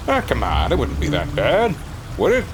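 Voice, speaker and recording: A man's voice: medium pitch, huge pitch swings, normal speed, noisy background. A man playing a flirtatious, roguish charmer in an audio drama, coaxing and playful. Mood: flirting. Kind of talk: fan audio drama acting.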